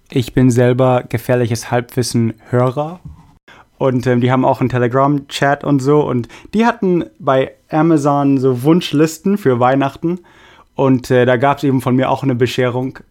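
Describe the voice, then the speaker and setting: A man's voice: medium pitch, a little slow. Casual man hosting a podcast. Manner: relaxed, hesitant with many fillers. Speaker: podcast host. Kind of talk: casual podcast chat reading listener mail.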